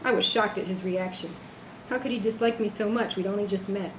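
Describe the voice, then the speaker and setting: A woman's voice: mid-range voice, brisk. A woman author reading aloud from her own novel in a literary style. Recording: echoey room, faint background noise.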